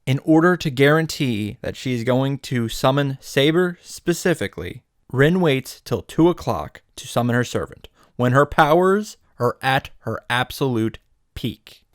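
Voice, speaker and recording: A man's voice: mid-range voice, expressive, unhurried, no room sound, clean studio sound. A man narrating a comedic scripted recap as a video host, wry, playful and casually irreverent.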